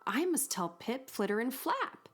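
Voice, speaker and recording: Lady doing a squeaky eager baby girl bat, storybook style, high n bouncy. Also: sing-song, measured pace, faint music behind. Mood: excited. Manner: squeaky, exclaiming delivery. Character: excitable young female baby fruit bat, eager and caring. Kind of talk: children's storybook character voice.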